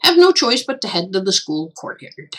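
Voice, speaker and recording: A woman reading a story game aloud in an expressive, theatrical narrating style. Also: mid-range voice, normal speed. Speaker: streamer reading a visual novel aloud.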